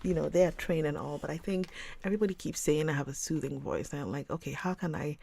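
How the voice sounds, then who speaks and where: A woman hosting a livestream, chatting casually with viewers in a rambling way and often dropping into a soft whisper. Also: low voice, quick pace, gravelly, slightly rough, dry recording. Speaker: livestream host reading books. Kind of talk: casual chat with live viewers.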